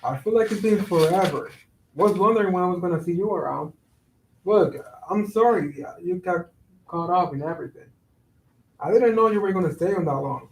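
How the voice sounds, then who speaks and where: Casting: male actor as teasing neighborhood pal for a stage play reading, high-pitched voice, heard from afar. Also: normal speed, slight background noise. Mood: teasing, friendly. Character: Tiago, teasing neighborhood buddy, building worker. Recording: echoey room, far from mic.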